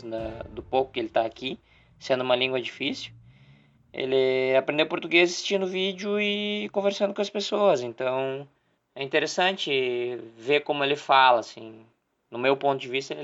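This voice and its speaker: A man's voice: medium pitch, fairly bright tone. A man from southern Brazil co-hosting a casual podcast, talking in long rambling stretches full of restarts. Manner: rambling, many restarts and fillers. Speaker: podcast co-host. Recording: slightly dull podcast audio with faint music.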